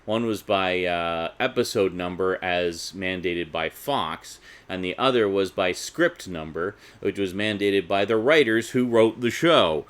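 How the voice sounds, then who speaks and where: Low-voiced man chatting casually. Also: measured pace, hardly any pauses, a bit bright. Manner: chatty, informal, conversational asides. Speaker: video host reviewing a DVD collection. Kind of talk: casual unscripted collector vlog.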